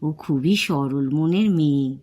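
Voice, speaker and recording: A woman's voice: low voice, slow, warm tone, dull audio. A woman voicing a kindly middle-aged village mother-in-law in a cartoon story, affectionate and patient. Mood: gently advising.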